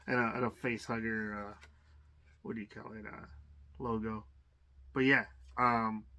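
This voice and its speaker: A man's voice: mid-range voice, a bit bright. A man giving a casual, rambling unboxing commentary on a tabletop game, full of fillers and restarts.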